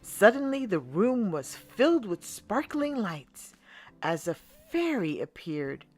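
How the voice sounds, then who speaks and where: A woman storyteller reading a children's picture book aloud in a slow, deliberate and expressive way. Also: medium pitch, faint music behind. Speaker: storyteller, channel host.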